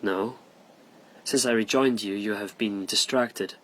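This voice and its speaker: A man's voice: lowish voice, steady tone, a little slow, fairly bright tone, poor audio. An actor in a radio drama playing a proud nobleman of the old Japanese court, formal and questioning in manner. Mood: admiring, concerned.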